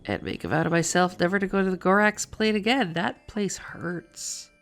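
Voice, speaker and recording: Woman with a low, creaky voice. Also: normal speed, faint music behind. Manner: colloquial, playful. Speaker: game guide narrator. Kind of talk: casual video game walkthrough commentary.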